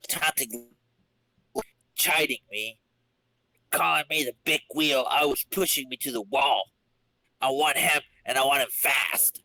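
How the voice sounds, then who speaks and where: A man's voice: mid-range voice, measured pace, vocal fry, long pauses, bright, forceful tone, full character voice, poor audio. A man voicing a vengeful, bitter comic-book villain in a terse, dramatic reading.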